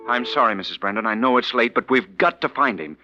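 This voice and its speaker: An actor playing a desperate, weary professor who stammers urgently in a 1940s radio mystery. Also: male voice, mid-range voice, lively intonation, quick pace, over loud music, natural voice. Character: weary, desperate professor falsely suspected of murder. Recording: dull vintage radio recording.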